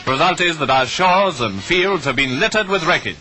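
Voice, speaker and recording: An old 1940s newsreel soundtrack with prominent music under the voice. A British man with a received pronunciation accent narrates in a high-set voice. His delivery is clipped and declamatory, in the manner of wartime cinema commentary. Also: expressive, fairly quick.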